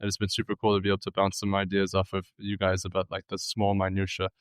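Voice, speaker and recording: A young man, a student, speaking in a flat, even tone with a clear voice. He talks casually and hesitantly, with lots of fillers. The sound is dry and close. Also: low-pitched, fast talker, dry recording. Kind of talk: interview answers.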